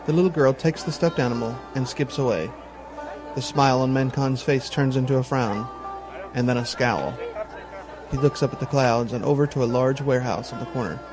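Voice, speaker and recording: A man's voice: mid-range voice, a little fast, a crowd in the background. Man narrating audio drama over music. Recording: music bed behind voice.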